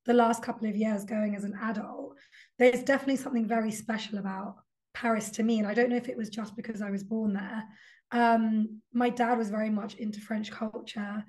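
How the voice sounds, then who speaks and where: A woman's voice: fairly high pitch, a little mellow. A young adult woman, a guest author talking reflectively in a casual interview, with frequent ums and likes. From London.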